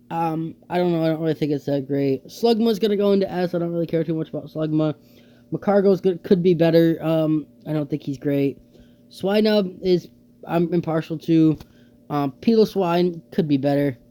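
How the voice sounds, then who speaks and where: A man's voice: fairly high pitch, warm tone. A young man with a slightly scratchy, dry throat, chatting casually as he ranks creatures, with many ums and offhand opinions. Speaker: YouTuber ranking creatures in a tier list. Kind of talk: casual unscripted commentary.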